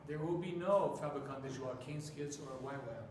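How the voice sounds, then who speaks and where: A man whose first language is Japanese preaches in short phrases, pausing for an interpreter. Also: medium pitch. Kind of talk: sermon with live interpretation. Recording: echoey hall from far off, noisy.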